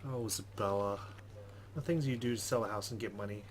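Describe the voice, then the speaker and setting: Low-voiced man narrating a game playthrough. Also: fairly quick. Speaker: gaming host. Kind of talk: let's play narration, reading game text aloud with wry asides. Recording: faint background noise.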